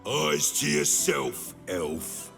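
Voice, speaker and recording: An actor playing a gruff, surly male guild guard who talks in curt, menacing lines. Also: fairly high pitch, big pitch swings, extremely slow, full character voice. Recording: game audio with music behind.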